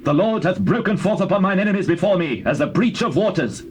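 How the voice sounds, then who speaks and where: A man's voice: sits high, lively intonation, fast. Solemn, declamatory British warrior king. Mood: commanding. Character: King David, stern, devout adult male warrior king. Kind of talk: dramatized scripture, British classical stage acting. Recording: faintly noisy old cassette recording.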